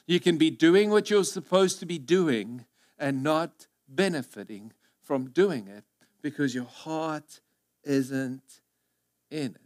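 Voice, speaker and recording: A man in his thirties, a native Afrikaans speaker with a South African accent, preaching earnestly on a church stage through a headset mic, moving between loud emphasis and hushed asides. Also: on the high side, expressive, slow. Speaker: pastor. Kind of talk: sermon.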